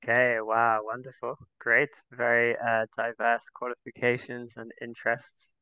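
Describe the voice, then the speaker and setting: A man's voice: mid-range voice, completely flat delivery, a little slow, pushed and bright, smooth, dry recording, muffled recording. A man hosting a podcast, introducing guests and asking them questions. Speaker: podcast host.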